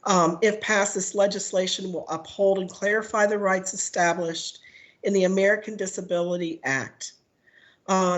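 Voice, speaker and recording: Hoarse woman giving testimony. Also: medium pitch. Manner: measured, read-out delivery with frequent "um". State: hoarse from a cold. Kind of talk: prepared testimony at a legislative hearing. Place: legislative committee room.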